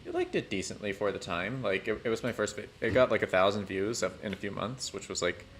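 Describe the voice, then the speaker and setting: A man chatting casually on a gaming stream, thinking aloud in a halting, rambling way with dry, self-deprecating humour. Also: on the low side, a bit bright, a little hiss or hum. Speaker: livestreamer playing a building game.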